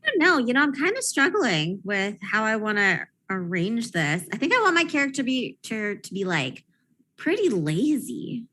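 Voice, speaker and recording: A woman chatting casually and a bit haltingly as a player on a tabletop game show. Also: fairly high pitch, sing-song, sharp tone. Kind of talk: casual chat, thinking aloud.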